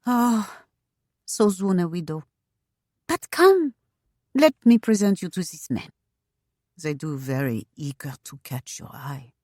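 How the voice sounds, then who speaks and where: A woman's voice: low voice, sing-song, unhurried, warm tone, breathy edge, dry recording, clean studio sound, heavily acted. A woman voicing a flirtatious, playful society lady in a storybook, gushing and exclamatory with a foreign accent. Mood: gushing sympathy.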